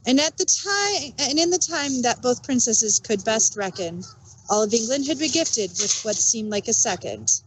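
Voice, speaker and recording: A woman narrator reading a storybook tale in rhyming verse with an expressive read-aloud cadence. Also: a little high, moderate pace, breathy edge, rough recording.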